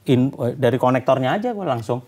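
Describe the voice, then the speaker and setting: Informal male host with a mid-pitched voice. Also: expressive, a little fast, a crowd in the background. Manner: informal, slangy. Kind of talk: casual, bantering show presenting.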